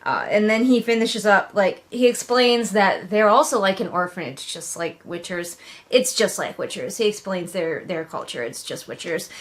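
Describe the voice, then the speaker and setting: A woman giving casual, sarcastic read-along commentary as a book reviewer, with animated, mocking emphasis. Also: medium pitch, moderate pace.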